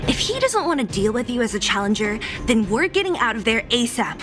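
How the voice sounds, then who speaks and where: A woman's voice: a little high, big pitch swings, fairly quick, over music. A woman playing a stern, sensible young comrade in a video game, skeptical and wearily scolding. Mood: resigned.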